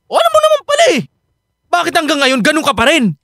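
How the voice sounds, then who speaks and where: An actor playing an irritable, evasive husband in a Tagalog radio drama, with a Filipino accent and halting delivery. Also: male voice, very high voice, huge pitch swings, a bit bright, no echo, clean studio sound, exaggerated voice. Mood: irritated. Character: exasperated, conflicted husband dodging his wife's questions.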